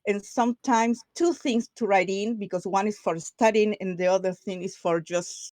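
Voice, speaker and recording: A woman from Chile with a Spanish accent, speaking English as a second language, moderating a casual panel chat. She talks in quick bursts, laughs often and restarts her sentences. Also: medium pitch, darkish tone, no echo, clean studio sound.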